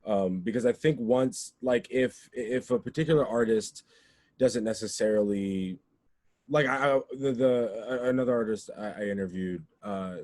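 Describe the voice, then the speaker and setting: Male podcast interviewer, dark relaxed tone in a dry recording, slangy, stumbling over word starts. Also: on the low side, normal speed, no room sound. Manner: informal, slangy, restarts words repeatedly. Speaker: interviewer, show host. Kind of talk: casual interview chat.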